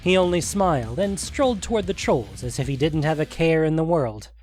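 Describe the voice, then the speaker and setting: A man's voice: on the high side, lively intonation, a little fast, a little mellow, faint music behind. A man reading scripted narration for a fantasy adventure story.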